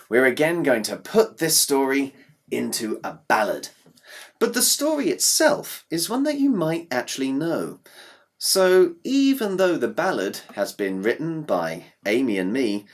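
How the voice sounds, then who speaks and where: A man from the United Kingdom introducing a school assembly, talking to children in a friendly, explanatory way. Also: medium pitch. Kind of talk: addressing schoolchildren.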